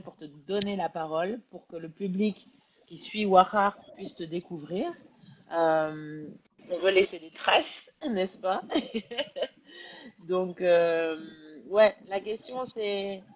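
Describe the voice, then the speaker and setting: Congested woman hosting a relaxed live interview. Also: fairly low pitch. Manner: relaxed, chatty, hesitant with many fillers. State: congested from a cold, nasal. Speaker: interview host. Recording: lo-fi live-stream audio.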